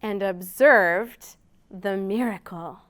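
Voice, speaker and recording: A woman's voice: mid-range voice, takes it slowly, fairly bright tone. A woman hosting a storytime for young children, reading aloud expressively and prompting them with simple questions. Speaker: children's storytime host at a library.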